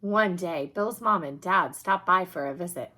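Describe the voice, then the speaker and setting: A woman reading a children's picture book aloud in an expressive, emphatic storytelling manner, with a slightly husky voice. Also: fairly low pitch, normal speed.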